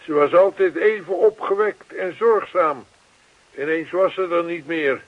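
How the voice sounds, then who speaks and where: An actor playing an earnest, lonely old widower in a radio play, talkative and old-fashioned, repeating himself. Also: male voice, sits high, a little slow, phone-quality sound. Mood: wistful. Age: older.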